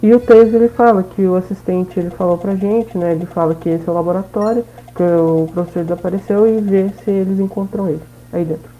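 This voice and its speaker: A woman's voice: medium pitch, steady tone, a little fast, hardly any pauses. Brazilian woman, dull lo-fi gaming recap. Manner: informal, checks in with listeners often. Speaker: gaming video host. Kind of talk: casual let's play voice-over recap. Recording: dull lo-fi voice-over.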